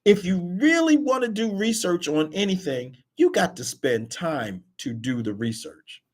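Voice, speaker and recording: A man's voice: high-pitched, big pitch swings, a little slow. A man hosting an online talk radio show, speaking emphatically in casual chat and often repeating words as he pushes a point. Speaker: host.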